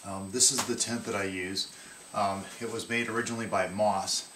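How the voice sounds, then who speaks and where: A man in his thirties with a low voice casually talks through his gear from a table in his living room, pausing often on ums and restarting his sentences. The camera sits across the table from him, so he sounds a little distant. The room adds a noticeable echo and a faint ambient hiss. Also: steady tone, fairly bright tone. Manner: halting, many ums and restarts. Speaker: outdoor gear vlogger.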